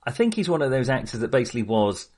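A man's voice: medium pitch, very animated, quick pace, a little mellow. A man talking casually as a podcast host discussing films, enthusiastic but hesitant and rambling as he goes.

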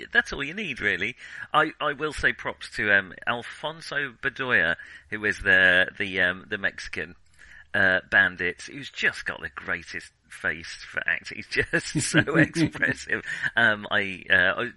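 A man hosting a casual film discussion, talking in a hesitant, halting and wordy way. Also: fairly low pitch, pushed and bright, no echo, low-quality recording. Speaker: film podcast host.

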